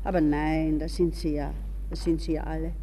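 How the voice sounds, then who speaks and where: Radio drama: actress as young lady, vain, defiant, feverishly wavering; mellow tone, reverberant slightly noisy recording. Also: sits low, little pitch movement, moderate pace, lightly acted. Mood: anxious, brooding. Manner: theatrical, self-addressed, swinging from murmurs to exclamations. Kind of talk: 1960s German radio drama monologue. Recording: reverberant hall sound, faint noise.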